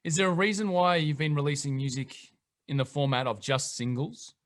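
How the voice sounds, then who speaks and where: A man's voice: fairly high pitch, fairly even intonation, brisk, a bit bright. A man interviewing a band, asking questions in an upbeat, friendly way. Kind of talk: music interview.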